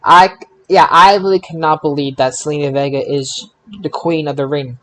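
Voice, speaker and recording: A man hosting a casual wrestling results chat, recapping in an informal, halting way. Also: a little high, fairly even intonation, a bit bright, poor audio. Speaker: podcast host.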